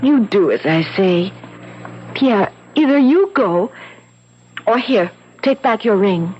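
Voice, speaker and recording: An actress playing a willful, anxious young Parisian sweetheart in a 1970s radio drama, insistent and dramatic. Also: female voice, medium pitch, animated, slow pace. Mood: demanding. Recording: dull, very noisy 1970s radio recording.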